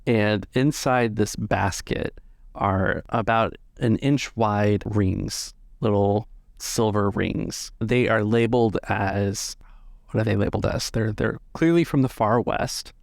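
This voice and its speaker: A man acting as game master, improvising descriptions for a tabletop game in a deliberate, halting way. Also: on the low side, vocal fry, no echo, studio quality.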